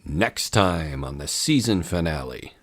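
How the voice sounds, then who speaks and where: A man's voice: rumbling low, expressive, measured pace, background noise. A man narrating a scripted historical audio drama in a grave, theatrical manner.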